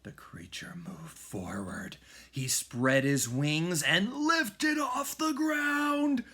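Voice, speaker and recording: Animated, unhurried man reading a children's story. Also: on the high side. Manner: dramatic, often hushed for suspense. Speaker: music teacher reading aloud. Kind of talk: children's storybook read-aloud.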